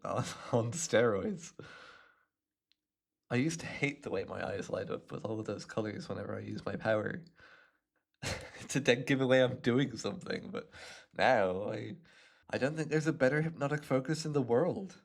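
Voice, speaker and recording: A man's voice: mid-range voice, vocal fry. A man acting out a smug, chatty imprisoned supervillain with hypnotic telepathy, musing playfully to the listener in an ASMR roleplay. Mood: pleased, musing. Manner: playful, smug, unhurried musing with little chuckles.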